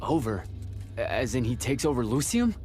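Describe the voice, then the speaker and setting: A man's voice: mid-range voice, big pitch swings, normal speed, a little mellow, soft background music, natural voice. A man playing a brash, irreverent young battlemage recruit in a video game, with a casual, informal way of talking. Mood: cheeky, defiant. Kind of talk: video game cutscene acting.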